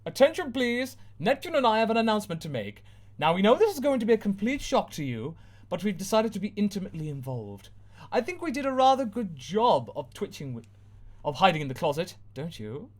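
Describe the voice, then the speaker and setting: A man's voice: sits high, very animated, brisk, pitched above the performer's own voice. A man voicing a poised, smug young woman making a formal announcement.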